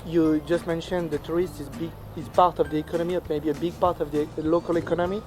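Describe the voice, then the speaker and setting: A man's voice: a little high, little pitch movement, fast, soft background music. A man with a French accent who interviews the mayor outdoors. He speaks English hesitantly, with many fillers. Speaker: interviewer and channel host. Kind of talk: interview and vlog intro.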